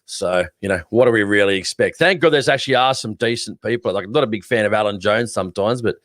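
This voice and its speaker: An Australian man with a fairly low voice co-hosts a casual live stream. He is blunt and sarcastic and doesn't hold back. The recording is dry and close to the mic. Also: fast talker, a bit bright, no room sound. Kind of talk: casual opinionated chat.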